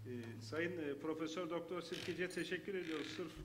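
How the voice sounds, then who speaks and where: A man moderating a conference session, speaking haltingly with many pauses and fillers. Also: fairly high pitch, steady tone. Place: hotel conference hall. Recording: echoey hall reverb with faint noise.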